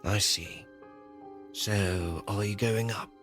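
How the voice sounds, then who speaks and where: Actor as enigmatic fortyish man, radio drama, soft courtesy, low pitch, light music underneath. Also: fairly even intonation, slow pace. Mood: courteous, enigmatic. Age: 40s. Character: enigmatic, unsettling, courteous man in his forties.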